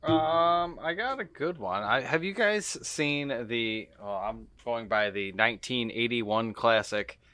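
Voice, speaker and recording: A man co-hosting a podcast in casual chat, talking in a halting, searching way. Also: medium pitch, pushed and bright, no room sound. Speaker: podcast co-host.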